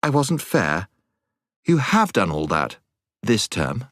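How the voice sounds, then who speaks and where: Male reader as touchy British schoolgirl for a storybook, blunt and outspoken, wide pitch swings, dry recording. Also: very animated, slow pace, a bit bright, no room sound, exaggerated voice. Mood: apologetic.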